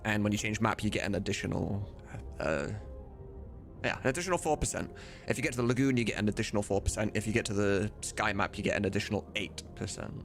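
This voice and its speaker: A man's voice: on the low side, fast talker, gravelly, faint music behind. A man livestreaming as he works, chatting casually with viewers and muttering to himself. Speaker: livestreamer and game modder. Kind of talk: casual chat while working.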